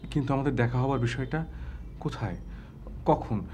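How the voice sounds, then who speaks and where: A man's voice: medium pitch, moderate pace, background noise, close to an everyday voice. A man playing a bashful young man in love. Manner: stammering, then flowery and poetic. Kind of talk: TV drama acting.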